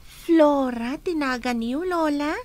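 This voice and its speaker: A woman's voice: sits high, slow pace, a little mellow. A woman playing a polite, curious young orphan in a radio drama, asking the old woman question after question. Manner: polite, asks many questions.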